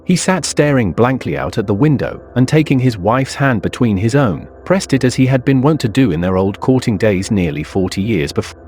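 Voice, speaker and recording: A man's voice: mid-range voice, expressive, a little fast, few pauses, darkish tone, soft background music. A man narrating a scripted suspense story in a literary style.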